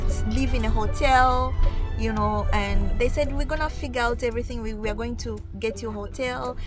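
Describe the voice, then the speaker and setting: A young woman from Tanzania tells her story in a fairly high voice, lively and expressive, often breaking into quoted asides. English is not her first language. Music plays clearly behind her. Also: normal speed. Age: young adult. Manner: animated, quoting others in lively asides. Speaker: interview guest. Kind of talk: casual storytelling.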